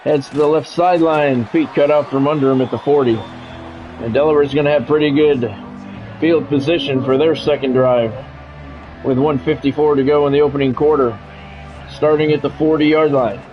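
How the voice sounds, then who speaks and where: A man's voice: a little high, fairly even intonation, moderate pace, lo-fi sound, faint music behind. A man calls football play-by-play in short, clipped phrases. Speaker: play-by-play announcer. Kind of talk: American football game commentary.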